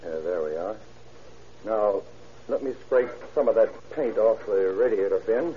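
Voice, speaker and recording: An actor playing a careful police lab chemist who explains deliberately with hesitant murmurs in an old-time radio drama. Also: male voice, lowish voice, expressive. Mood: careful, explanatory. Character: careful, unhurried male police laboratory chemist. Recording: dull, noisy vintage radio recording.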